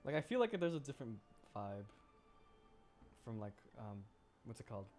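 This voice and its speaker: A man's voice: medium pitch, sing-song, moderate pace. A man giving casual, chatty, giggly livestream commentary while playing a video game. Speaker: VTuber game streamer. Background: game music.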